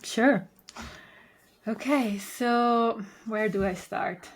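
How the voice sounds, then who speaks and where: A woman in her thirties from Italy with an Italian accent, telling her story as a podcast guest in an unhurried, hesitant way with many pauses. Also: a little high, slight background noise. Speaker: podcast guest, Reiki master. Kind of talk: casual interview storytelling.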